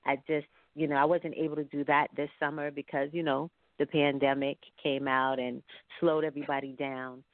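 A woman's voice: low-pitched, flat delivery, moderate pace, few pauses, dry recording. A woman talking casually as an interview guest over a call. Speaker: guest, singer and entrepreneur. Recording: thin phone-like call audio.

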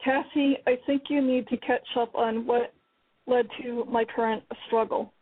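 A woman's voice: fairly high pitch, fairly even intonation, a little slow, husky. A woman voicing a young female patient confiding in a friend about her struggles. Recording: tinny phone-line radio audio.

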